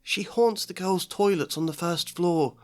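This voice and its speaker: A man doing a high, fussy schoolgirl. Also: darkish tone. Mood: frantic. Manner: rushed, fussy and anxious. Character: a high, frantic, bossy schoolgirl.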